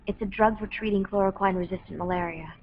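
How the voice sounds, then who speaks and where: A woman playing a calm, solemn young soldier with foresight in a video game drama. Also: medium pitch, fast talker, slight background noise, close to an everyday voice. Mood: gentle, resigned. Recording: muffled game audio.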